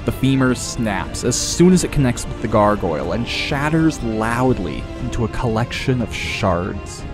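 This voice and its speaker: A man's voice: medium pitch, very animated, measured pace. Male fantasy audiobook narrator over music. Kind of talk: scripted dramatic fantasy narration.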